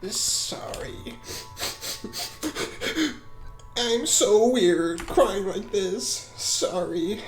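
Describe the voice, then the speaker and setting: A woman's voice: fairly low pitch, steady tone, slow, a little mellow. A woman voicing a foul-mouthed, insecure rich teenage girl in a whiny, blunt, self-pitying manner. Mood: tearful, apologetic. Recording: roomy echo, music underneath.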